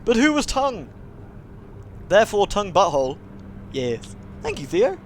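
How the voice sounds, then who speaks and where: A man's voice: sits high, big pitch swings, moderate pace, a little hiss or hum. A man livestreaming a game, chatting casually with viewers and reading aloud. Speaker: game streamer.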